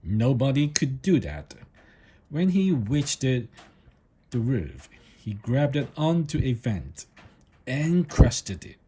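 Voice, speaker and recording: A man narrates a children's storybook with a slow, deliberate delivery. His pitch moves up and down in a lively way, while the tone stays mellow and slightly husky. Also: slight background noise. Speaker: storybook reader.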